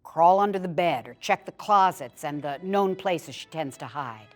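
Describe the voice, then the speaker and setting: A woman with a low voice performs a humorous how-to essay as a dramatic reading. Her delivery is instructional yet expressive, with lively swings in pitch and some music in the recording. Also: moderate pace. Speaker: actor reading a written piece aloud.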